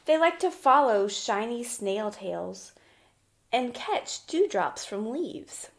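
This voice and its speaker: A woman's voice: on the high side, sing-song, slow pace. A woman teacher reading a children's picture book aloud and talking it through simply, often putting questions to the young listener. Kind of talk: children's storybook read-aloud and lesson.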